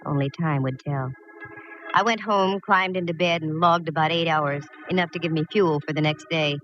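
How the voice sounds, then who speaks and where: A woman's voice: sits low, normal speed, faint music behind, lightly acted. An actress playing a wry, plucky female private eye in a 1940s radio detective drama, with a wisecracking manner. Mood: wry narrating. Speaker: actress narrating and playing lead. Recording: muffled, lightly hissy old radio recording.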